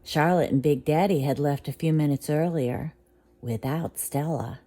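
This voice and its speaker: Soft, low-voiced woman reading a bedtime story. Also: moderate pace.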